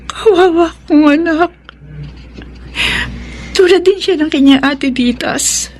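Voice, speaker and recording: A woman's voice: very high-pitched, slow, darkish tone, slight background noise. An actress playing a sorrowful, repentant young single mother in a melodramatic radio drama, earnest and plaintive. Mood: tearful.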